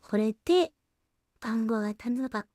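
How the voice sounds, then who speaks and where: Woman, casual work-along commentary, thinking aloud, mumbly, higher-pitched voice, very dry recording. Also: lively intonation, normal speed, mellow, dry recording. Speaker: solo animation creator and streamer.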